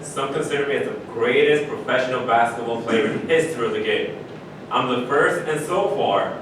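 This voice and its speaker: A boastful, blunt basketball legend in a stage play, read by a man. The mid-pitched voice sits far back in a very echoey room. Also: lightly acted. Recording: echoey distant room recording, noisy rumble.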